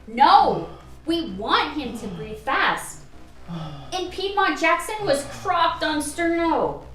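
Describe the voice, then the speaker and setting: Radio play doctor, played by a woman: high voice, commanding and impatient, recorded roomy and off-mic. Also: animated, takes it slowly, a bit bright, exaggerated voice. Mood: urgent, insistent. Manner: insistent, curt, commanding. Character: driven, impatient woman doctor chasing a medical hunch. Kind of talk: amateur radio play acting. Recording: roomy, distant mic, music underneath.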